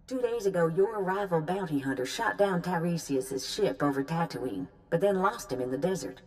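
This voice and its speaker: A woman voices a handler briefing an agent in a video game. Her voice is deep for a woman, and her manner is brisk, knowing and instructive. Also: expressive, a little mellow, close to an everyday voice. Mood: businesslike, knowing. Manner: businesslike, instructive. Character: brisk female mission handler giving briefings.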